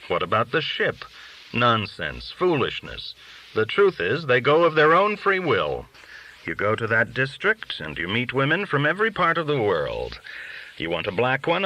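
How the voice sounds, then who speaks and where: A man's voice: mid-range voice, pushed and bright, poor audio. A man reading an audiobook, voicing a worldly, cynical, boastful underworld dealer who talks in glib, argumentative rhetorical questions. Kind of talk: audiobook character dialogue.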